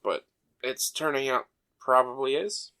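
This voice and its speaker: A man with a mid-pitched, bright voice talks loosely on a podcast, leaning on fillers as he goes. He also runs through the agenda for the episode. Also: unhurried. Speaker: podcast co-host. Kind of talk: casual chat.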